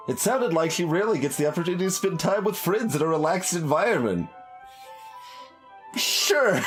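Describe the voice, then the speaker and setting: Animated man narrating a game. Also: on the high side. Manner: expressive, reading aloud with off-the-cuff asides. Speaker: streamer doing a blind voiced playthrough. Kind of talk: game narration with casual commentary. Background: music.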